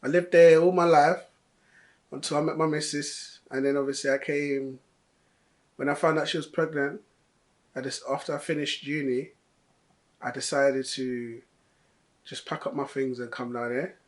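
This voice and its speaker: Young man, delivery driver, interview, earnest, halting, repeats words. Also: a little high, little pitch movement. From London. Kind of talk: interview testimony.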